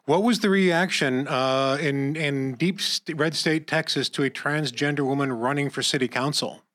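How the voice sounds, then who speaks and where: A man's voice: a little high, normal speed, fairly bright tone. A man hosting an interview, asking long questions with frequent restarts and repeated words. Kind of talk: casual interview.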